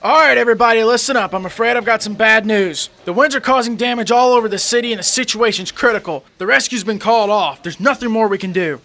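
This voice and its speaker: A man voicing an agitated, commanding rescue leader in an anime dub, with a gravelly, forceful voice. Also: high voice, quick pace, few pauses. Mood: grave, urgent.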